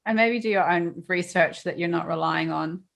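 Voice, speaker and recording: A South African woman hosting a podcast, her voice a little on the low side. She chats loosely and thinks out loud with plenty of asides. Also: normal speed, vocal fry. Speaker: podcast host. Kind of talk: casual podcast chat.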